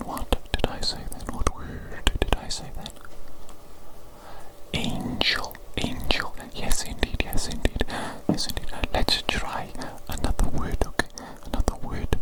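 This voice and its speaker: A woman whispering softly into a close microphone, repeating each phrase and gently teasing as an ASMR doctor roleplay. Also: moderate pace, few pauses, poor audio. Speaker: ASMR creator. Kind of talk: ASMR roleplay of a medical exam.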